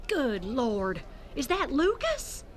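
A woman's voice: sits high, wildly swooping pitch, slow pace, slight background noise, heavily acted. An actress playing a composed, condescending woman author in an audio drama, with an arch manner. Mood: surprised, wry. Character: haughty, unflappable woman author of religious books.

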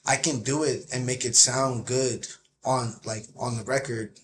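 A man with a low voice, talking casually as a guest on a podcast, with lots of filler words. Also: unhurried, a little hiss or hum. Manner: relaxed, many filler likes. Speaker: guest rapper.

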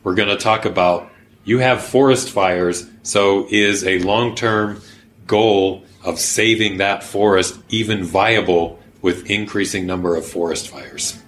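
A man's voice: low-pitched, steady tone, unhurried, fairly bright tone, slight background noise. A man explaining his thinking in a podcast conversation, earnest and pragmatic, who also leads a workshop. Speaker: workshop organizer.

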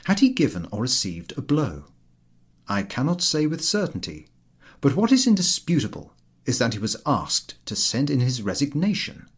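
A man reading a literary story aloud as an audiobook narrator, with an expressive storytelling delivery. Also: mid-range voice, moderate pace, slightly rough. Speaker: audiobook reader.

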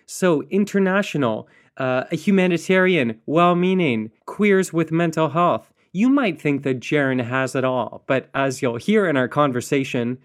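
A man's voice: on the high side, moderate pace, hardly any pauses. A man hosting a podcast, playful and teasing, with theatrical asides. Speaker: podcast host. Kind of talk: podcast intro and casual interview.

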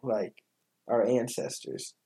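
A man's voice: mid-range voice, takes it slowly, creaky, warm tone. A man chatting casually as a podcast guest, talking in an informal, hesitant, loosely worded way. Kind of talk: casual chat.

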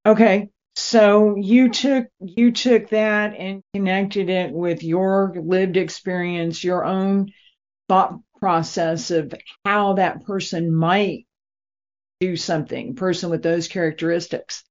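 A woman hosting an interview in a conversational style, with halting, deliberate phrasing. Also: lowish voice, steady tone. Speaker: interviewer, host. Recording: dull lo-fi audio.

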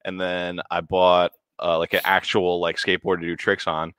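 A young man in his twenties, talking loosely with slang, curses and restarts. His voice sits low yet carries a bright, forceful edge. The sound is dry and close-miked. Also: flat delivery, moderate pace, smooth, dry recording. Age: 20s. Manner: laid-back, swearing, stumbling restarts. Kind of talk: casual chat.

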